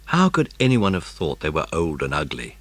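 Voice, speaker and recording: A man's voice: rumbling low, wildly swooping pitch, moderate pace, a bit bright, dry recording. A man reading scripted narration of a children's mystery story as an audiobook narrator.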